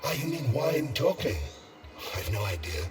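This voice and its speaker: A man's voice: medium pitch, very animated, normal speed, roomy sound, recorded from a distance, faint music behind, close to an everyday voice. An actor playing a dead man who talks in a dry, matter-of-fact way in a video game. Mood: dry, morbid. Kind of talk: video game character dialogue.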